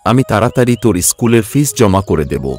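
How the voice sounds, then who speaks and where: Male actor as humble working father, low pitch, reassuring, devoted, cartoon story, faint background music. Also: animated, quick pace, natural voice. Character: hardworking, self-sacrificing poor labourer father, devoted and humble. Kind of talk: Bengali cartoon story voice acting.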